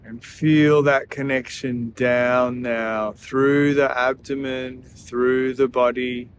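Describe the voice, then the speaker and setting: A man leading a guided breathing meditation in a soothing, gentle manner, leaving long pauses between phrases. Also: medium pitch, level tone, a little hiss or hum.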